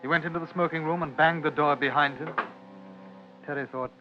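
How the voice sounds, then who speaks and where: Measured British male narrator, muffled vintage radio. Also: a little high, steady tone. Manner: measured, literary storytelling. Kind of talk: scripted radio drama narration. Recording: dull old radio broadcast with music.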